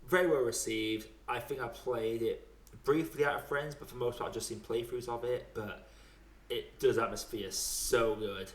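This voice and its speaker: A man's voice: medium pitch, fairly even intonation, moderate pace. A man hosting a podcast, chatting casually and informally about films and games, with some swearing. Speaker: podcast host. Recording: echoey room sound.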